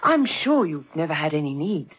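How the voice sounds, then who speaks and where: A woman's voice: low voice, sing-song, a little fast, husky, phone-quality sound, exaggerated voice. An actress playing a poised, witty British lady secret agent in a 1970s radio drama, arch and teasing in manner. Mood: smooth, probing. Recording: thin band-limited old radio recording.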